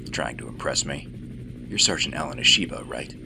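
An actor playing a gruff, sardonic veteran special-forces soldier in an audio drama, gravelly, laconic and wry. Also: male voice, rumbling low, animated, a little fast, background noise, exaggerated voice. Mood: terse.

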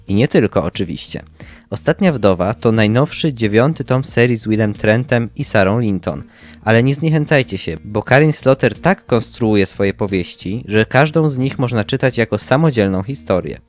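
A young man co-hosting a podcast, giving scripted book recommendations. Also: on the low side. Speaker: podcast co-host.

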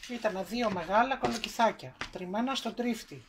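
A woman hosting a home cooking video, calmly walking through the ingredients and steps in her kitchen. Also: on the high side, noisy background. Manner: calm step-by-step narration, listing ingredients. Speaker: cooking show host.

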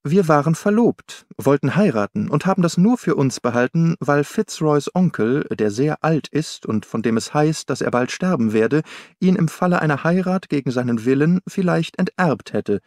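A man's voice: a little high, expressive, normal speed, darkish tone, no room sound, voice pushed up. Strong-willed young woman, read by a man, composed, forthright, proud, audiobook character, dry studio sound. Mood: candid. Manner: determined, forthright.

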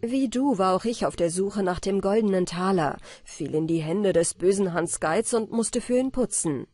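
A woman's voice: mid-range voice, animated, moderate pace, dark tone, close to an everyday voice. A woman voicing a humble little old enchanted water man in a fairy tale, earnest and plain. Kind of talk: storybook reading.

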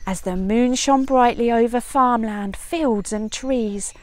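A middle-aged woman reading a children's storybook aloud outdoors in an expressive, measured teacher's way. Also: fairly high pitch. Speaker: early years teacher. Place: outdoors in a leafy woodland garden.

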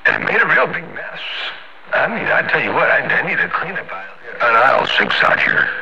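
A man's voice: medium pitch, big pitch swings, a little fast, vocal fry, pushed and bright. An American man bantering casually in CB slang over a radio, folksy and playful, repeating his phrases. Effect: CB radio transmission. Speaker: CB radio operator. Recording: dull, noisy CB radio audio.

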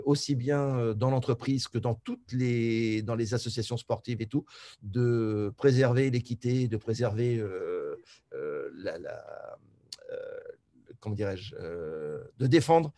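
A man presenting as an association president on a video call, halting and searching for words. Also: mid-range voice, warm tone, dry recording.

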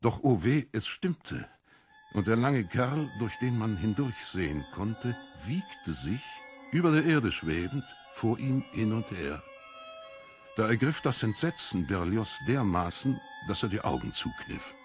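Ein Mann liest als Hörbucherzähler einen literarischen Text, mit rauer Stimme und bedachter, dramatischer Phrasierung. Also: male voice, lowish voice, big pitch swings, a little slow, faint music behind. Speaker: audiobook narrator. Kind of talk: scripted literary narration.

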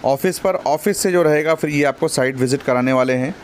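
A man's voice: on the high side, brisk, slight background noise. A man in his thirties narrating a walking real estate tour, pointing out sites to viewers in a promotional way. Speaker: property video host. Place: outdoors by roads and at an office.

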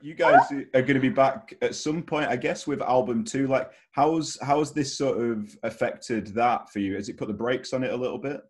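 A man's voice: mid-range voice, moderate pace, hardly any pauses. A young man interviewing casually over a headset on a video call. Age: young adult. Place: bedroom.

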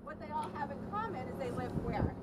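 A woman's voice: high voice, very animated, brisk. A woman educator teaching a student group about plankton on a boat, asking the class questions as she explains. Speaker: marine science educator. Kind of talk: teaching students on a field trip. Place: on a boat, deck and cabin. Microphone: handheld camera some distance off. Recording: distant voice under loud boat noise.

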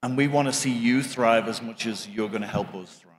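A man's voice: medium pitch, fairly quick. A man in his fifties making informal remarks as an industry panelist into a handheld microphone. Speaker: panelist, game industry executive. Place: conference stage. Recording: reverberant hall stage sound.

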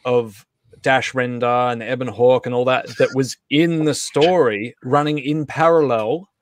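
A man's voice: medium pitch, steady tone, measured pace, dry recording. A man hosting a casual livestream chat, talking in a rambling, conversational way. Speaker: host and co-creator. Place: indoor home setup on a group video call.

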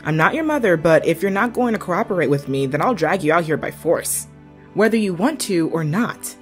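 A woman's voice: on the low side, expressive, a little fast, soft background music, close to an everyday voice. A woman voicing a tough biker around thirty, stern but caring, blunt and scolding in a character demo. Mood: stern, reproachful.

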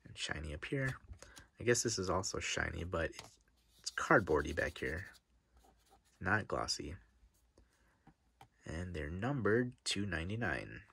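A middle-aged man with a stuffy, blocked-up nose and a very low, gravelly voice. He talks in a relaxed, chatty way, reading out names and slipping into a near-whisper now and then. His tone is husky rather than clear. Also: measured pace, pauses often. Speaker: card-opening vlogger. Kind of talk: casual commentary while opening card packs. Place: desk in front of a wall of card boxes.

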